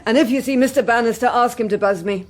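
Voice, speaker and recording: An actress playing a blunt, loyal British secretary in a political radio drama, with a no-nonsense, teasing manner. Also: female voice, a little high, quick pace, lightly acted. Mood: brusque, bantering. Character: brisk, blunt, loyal secretary in a parliamentary whip's office.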